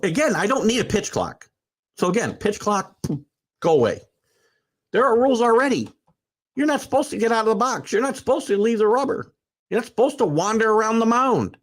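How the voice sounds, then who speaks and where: Rambling, animated man in his sixties. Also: high voice. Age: 60s. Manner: rambling, opinionated, many fillers and restarts; animated, rising into emphatic rants. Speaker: sports talk show host. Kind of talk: livestream chat reading viewer comments.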